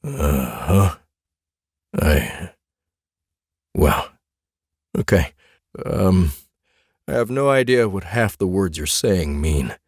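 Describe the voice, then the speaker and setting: A man's voice: low-pitched, sing-song, very slow, pauses often, husky, dry recording, clean studio sound, heavily acted. A man voicing a mild, befuddled middle-aged husband and father in a fantasy audiobook, hesitant and earnest. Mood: confused, sheepish. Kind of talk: dramatic audiobook character dialogue.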